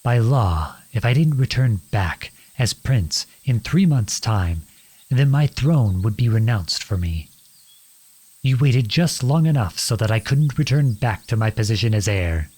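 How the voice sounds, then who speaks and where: Man acting as a husky, slow-speaking defiant young prince. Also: medium pitch, expressive, a little mellow. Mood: bitter, defiant. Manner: earnest, deliberate, pleading and accusing. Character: defiant outlawed young prince confronting his cruel king father. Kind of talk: dramatic audio roleplay acting.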